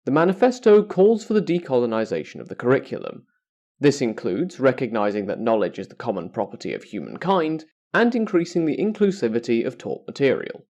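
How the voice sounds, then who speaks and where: A man presents scripted educational material as a podcast host, at a middling pitch. His intonation rises and falls in a lively way, while the wording stays formal and carefully structured. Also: highs cut off.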